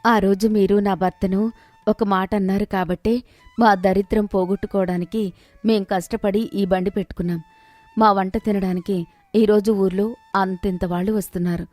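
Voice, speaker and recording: A woman voicing a kind, humble, respectful poor village wife in an animated moral story. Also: mid-range voice, normal speed, no room sound, soft background music, close to an everyday voice. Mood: reassuring, respectful. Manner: gentle, earnest, deferential.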